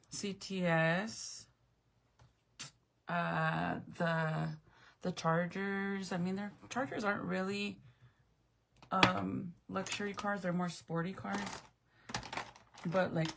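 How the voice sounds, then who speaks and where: Late 30s woman rambling to her stream chat, kinda low voice with a gravelly edge, swears a bit. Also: background noise. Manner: chatty, rambling, frank with mild swearing. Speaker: livestream host. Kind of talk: casual livestream chat with viewers.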